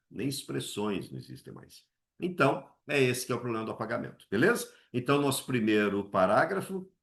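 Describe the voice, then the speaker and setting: Lively low-voiced Brazilian teacher. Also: male voice, gravelly. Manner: asks questions and answers them himself, with many "né?" tags; swings his pitch high to act out voices. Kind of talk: lively exam-prep essay lesson.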